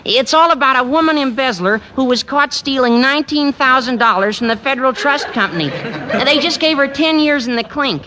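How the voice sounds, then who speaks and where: A man's voice: very high voice, lively intonation, brisk, a crowd in the background, noisy background. A man playing an eager, gabby, naive teenage schoolboy in 1940s radio comedy, earnest and gushing. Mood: gabby, excited. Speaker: actor in a radio sitcom.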